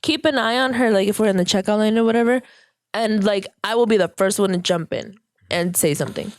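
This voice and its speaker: A woman's voice: mid-range voice, fairly quick, no echo. Woman co-host, 30s, blunt swearing storyteller, dry close recording. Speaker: podcast co-host. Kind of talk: casual podcast chat.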